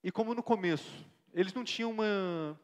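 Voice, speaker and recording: A man acting as an earnest young missionary pastor with a fairly high voice, recalling his mission in a reflective, devoted way and often checking in with his listener. His voice rings with the long reverb of a church hall. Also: fairly quick, lightly acted. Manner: earnest, reflective storytelling with frequent tag questions. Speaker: interviewee in a church play. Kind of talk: staged interview, church theatre.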